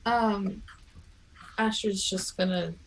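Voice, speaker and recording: A woman's voice: medium pitch, steady tone, takes it slowly, poor audio. A woman speaking casually as a player in a tabletop role-playing game.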